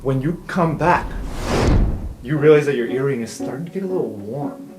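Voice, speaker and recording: A man's voice: mid-range voice, animated, normal speed. A man improvising narration as the game master of a tabletop roleplaying game. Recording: roomy, with music under the voice. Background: music.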